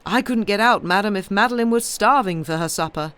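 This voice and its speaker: Idle gentleman, voiced by a female narrator, flippant, breezy, polite; novel reading. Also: medium pitch, fairly quick, clear, resonant tone, voice pushed up, lightly acted.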